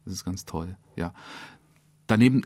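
A man's voice: low voice, normal speed, mellow, dry recording. A male radio drama director explaining his work in a studio interview, in a hesitant, searching way.

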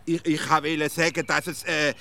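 An actor playing a stubborn, flustered provincial simpleton in a comic radio play, stammering and halting with a Swiss accent. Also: male voice, a little high, level tone, gravelly, sharp tone, exaggerated voice. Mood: flustered, insistent. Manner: stammering, halting, indignantly self-justifying.

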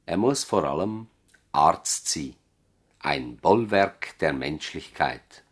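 An actor with a Swiss German accent playing a dignified, idealistic family doctor in a 1970s radio play, solemn and deliberate. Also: male voice, very deep voice, animated, breathy edge, exaggerated voice. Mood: solemn, fervent.

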